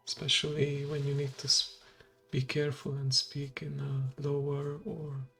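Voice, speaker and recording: Man, native Hungarian speaker, husky whispery voice, faint music behind. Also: on the high side. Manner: slow, hushed and gravelly, drifting into whisper. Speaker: VTuber streamer. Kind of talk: soft-spoken ASMR stream.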